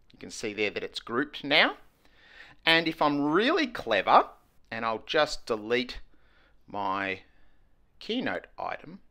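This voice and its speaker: A man's voice: medium pitch, pushed and bright. A man, explaining a screen tutorial step by step in an upbeat way, recorded indoors on a wired earphone mic. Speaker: educational technology presenter. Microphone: wired earphone headset mic.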